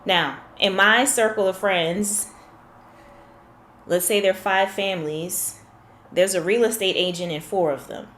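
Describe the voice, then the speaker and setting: A woman's voice: mid-range voice, fairly even intonation, measured pace, a bit bright, roomy sound, slight background noise. A woman confidence coach in a one-on-one session, explaining with examples and asking probing questions.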